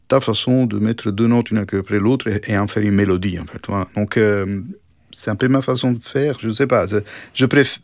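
A jazz guitarist from Naples with an Italian accent, answering radio interview questions haltingly and often adding "en fait, voilà". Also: male voice, on the low side, a little mellow, no echo. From Naples, Italy.

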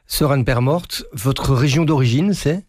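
Man, husky, dry studio sound, polite interviewer. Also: medium pitch, a little mellow, no room sound. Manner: polite, measured questioning. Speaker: host. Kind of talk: radio interview.

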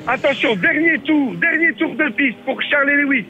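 A man, a radio reporter speaking live in an excitable, playful, exclamatory way. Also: very high-pitched, moderate pace, lo-fi sound. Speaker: field reporter on a radio show. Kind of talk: excited mock race commentary and live chat.

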